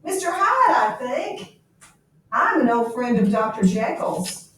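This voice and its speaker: Inquisitive, courteous gentleman lawyer from a gothic storybook read by a woman, formal questions, distant mic, echoey. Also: on the high side, big pitch swings, slow, voice pushed up, exaggerated voice. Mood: polite, probing. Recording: echoey room, distant mic, faint noise.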